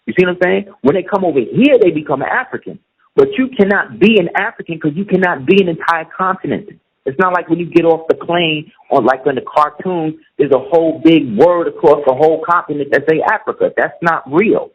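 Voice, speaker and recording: A middle-aged man with a husky, slightly high voice makes his points emphatically and keeps asking whether the listener is following. The recording is muffled and lo-fi. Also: lively intonation, a little fast. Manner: emphatic, keeps asking "you see what I'm saying". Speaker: guest. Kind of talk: casual conversation. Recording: muffled lo-fi audio.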